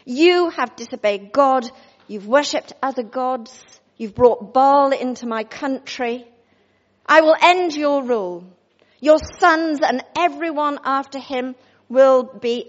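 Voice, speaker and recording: A woman's voice: sits high, in a large hall, lo-fi sound. A woman playing a stern prophet pronouncing doom. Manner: grave, declaring. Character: stern male prophet delivering judgment.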